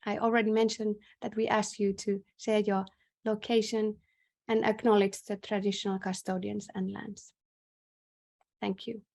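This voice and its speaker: A woman with a Finnish accent chairs an online conference session. Her voice sits at a medium pitch. She speaks formally and in a measured way, with hesitant pauses, over a video call. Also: flat delivery, vocal fry, a little mellow. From northern Finland. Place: indoors in a bright room, on a video call. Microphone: video call microphone.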